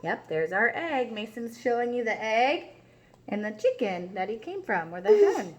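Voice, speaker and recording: A woman's voice: on the high side, big pitch swings, moderate pace. A woman speaking as a young children's teacher, chatty and encouraging, with simple explanations. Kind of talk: read-aloud lesson addressed to children. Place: at home, small child beside her.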